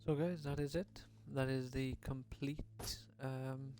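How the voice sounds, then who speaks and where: A man's voice: medium pitch, fairly even intonation, a little slow, music in the background. A young adult man giving casual, unscripted game commentary as a video creator, informal, tentative and rambling.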